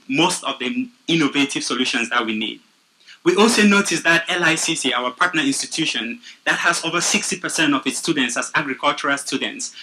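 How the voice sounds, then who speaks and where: Cameroonian man, young adult, very bright tone; earnest, steady pitch speaker. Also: a little hiss or hum, poor audio. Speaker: nonprofit founder pitching. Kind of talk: prepared pitch speech.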